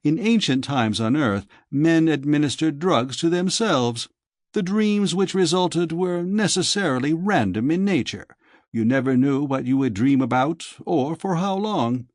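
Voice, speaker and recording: A man's voice: on the high side, lively intonation, unhurried, no room sound, clean studio sound. A man voicing a sleek young dream-shop manager in an audiobook, with polished, formal, lecturing sales patter. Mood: suave, instructive.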